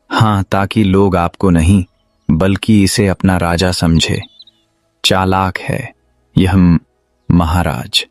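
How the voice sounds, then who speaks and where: A man's voice: on the low side, a little mellow, no echo. A man voicing an envious, scheming villager in a cartoon story, sly and accusing in a matter-of-fact way. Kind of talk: Hindi cartoon moral-story voice acting.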